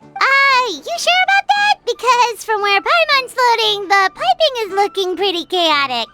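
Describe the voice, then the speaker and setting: A woman voicing a tiny, chirpy, blunt fairy companion with a squeaky childlike voice in a video game. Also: big pitch swings, normal speed, full character voice. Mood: blunt, helpful. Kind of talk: animated game voice acting. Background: music.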